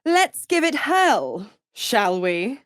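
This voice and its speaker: The voice that greets you at an infernal bargaining table in a fantasy game: a she-devil, unhurried and close in a dry space, seductive, taunting and used to being obeyed. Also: fairly high pitch, very animated, fairly bright tone, no room sound, full character voice. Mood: imperious, bargaining. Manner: sultry, taunting, drawn-out theatrical delivery. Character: seductive, mocking, imperious female devil who bargains. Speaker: video game voice actor. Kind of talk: theatrical video game character acting.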